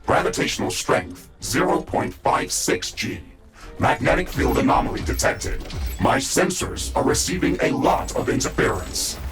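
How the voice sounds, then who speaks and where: A dutiful machine intelligence from a sci-fi game, synthetic and gravelly. It delivers formal, procedural reports in clipped phrases that jump up and down in pitch. Music plays clearly behind it. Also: male voice, wildly swooping pitch, moderate pace, full character voice. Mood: composed, procedural. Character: male AI of a mech suit, composed, dutiful advisor. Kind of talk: video game voice acting, status reports.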